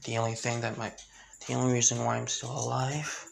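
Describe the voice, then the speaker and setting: A man voicing a cold, bitter young woman bent on revenge, in a hushed, breathy murmur. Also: takes it slowly, slight background noise. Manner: low, menacing murmur.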